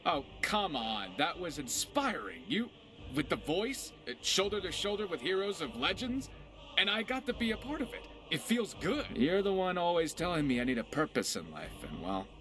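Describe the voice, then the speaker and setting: Lively, cocky pirate rogue. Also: male voice, on the high side, moderate pace. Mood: earnest, excited. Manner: wry, boastful, theatrical. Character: dashing cocky young male pirate rogue, playful and tender. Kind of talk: voiced game character dialogue.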